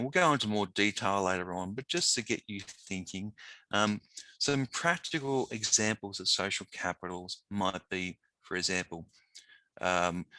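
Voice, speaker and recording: A man presenting a webinar as a teacher of the deaf, slightly hoarse and talking informally with frequent pauses and fillers. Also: lowish voice, sharp tone, dry recording, poor audio. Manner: informal, conversational, with frequent ums and restarts.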